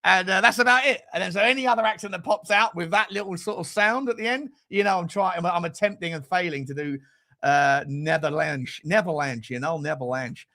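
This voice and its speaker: A high-pitched British man in his forties with a bright, forceful and gravelly voice. He hosts a football fan livestream, rambling from one jokey aside to the next. His talk is casual, slangy and sweary, aimed straight at the people commenting. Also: a little fast. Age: 40s. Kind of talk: casual livestream chat, reading and answering comments.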